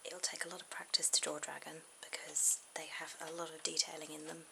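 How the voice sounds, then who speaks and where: Woman, breathy ASMR whisper, low creaky voice, informal drawing tutor, hesitant pauses. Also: little pitch movement, pushed and bright. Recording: very noisy amateur camera audio.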